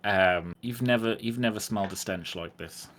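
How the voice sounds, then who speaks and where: A man's voice: lowish voice, normal speed, a bit bright. A man acting as game master in a tabletop roleplay game, chatting casually and with amusement.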